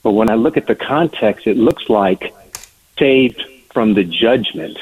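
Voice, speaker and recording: Husky-voiced male caller on a phone line. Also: lowish voice, measured pace. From Southern California. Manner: polite, reads out scripture references. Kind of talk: asking a Bible question on call-in radio. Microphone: telephone.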